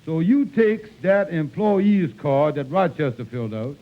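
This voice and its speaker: An actor playing a wheedling, folksy hustler who runs an employment agency, hemming and hawing in a 1940s radio comedy. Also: male voice, a little high, very animated, measured pace. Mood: pleased, scheming. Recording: muffled, noisy 1940s radio recording.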